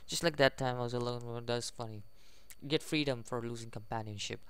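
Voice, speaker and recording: A man's voice: mid-range voice, fairly quick, clear, resonant tone, no room sound. A man giving casual playthrough commentary, reading game text aloud and tossing in jokey side remarks. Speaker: gaming video host. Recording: faint music under the voice. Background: video game music.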